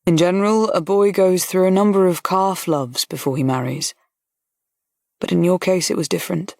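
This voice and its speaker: A woman reading an audiobook, voicing a kind male colonel who speaks in a pleasant, steady and frank way. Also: on the low side, normal speed, gravelly, dry recording, clean studio sound. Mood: firm, kind. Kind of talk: audiobook character voice.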